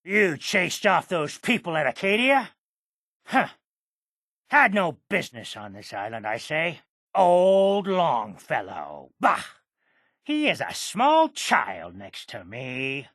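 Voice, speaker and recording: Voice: mid-range voice, very animated, slow, a bit bright, no room sound, clean studio sound, full character voice. An actor voicing a cranky, boastful, very old islander in a video game, scoffing and grumbling with dramatic flair. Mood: scornful, grumbling. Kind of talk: video game character acting.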